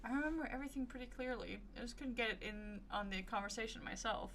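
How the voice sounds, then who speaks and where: A woman's voice: fairly high pitch, fast talker, a bit bright, slight background noise. A woman streaming a game, giving casual commentary with playful, cutesy reactions.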